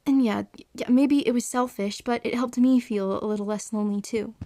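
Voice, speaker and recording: Earnest young woman, higher voice, an actress's role. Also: fairly even intonation, background noise, lightly acted. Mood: reflective, wistful. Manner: soft-hearted, hesitant, halting. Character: lonely, earnest young woman hospital volunteer narrating her diary. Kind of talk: first-person audio drama narration and dialogue.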